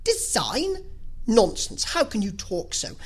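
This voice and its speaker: A woman reader acts out a fussy middle-aged wife from a classic novel. She nags and exclaims with emphatic self-pity, her pitch swinging high and low in a slightly husky voice. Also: wildly swooping pitch, takes it slowly, heavily acted. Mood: exasperated, insistent.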